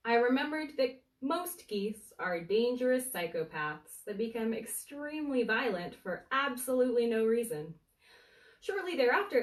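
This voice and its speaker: A woman reads a humorous story aloud as a storyteller, in a deliberate, dramatic way with suspenseful pauses. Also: medium pitch. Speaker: bookseller storyteller reading aloud. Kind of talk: animated bedtime story reading of a humorous book. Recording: roomy, echoey, distant from the mic.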